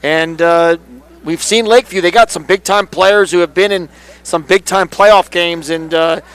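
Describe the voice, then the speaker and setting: A male color commentator gives off-the-cuff analysis of a school game. His voice is clear and smooth, pitched distinctly high for a man. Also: steady tone, moderate pace, a bit bright.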